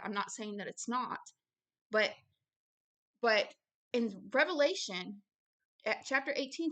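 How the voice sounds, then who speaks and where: High-voiced woman teaching scripture emphatically. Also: fairly bright tone. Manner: emphatic, didactic, repeats key words, short insistent phrases. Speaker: religious teacher and show host. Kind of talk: extemporaneous Bible teaching and book commentary.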